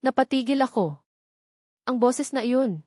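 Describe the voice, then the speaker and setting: A woman's voice: high-pitched, expressive, unhurried, darkish tone, no room sound, clean studio sound. A woman reading a scripted first-person love story as a dramatic narrator.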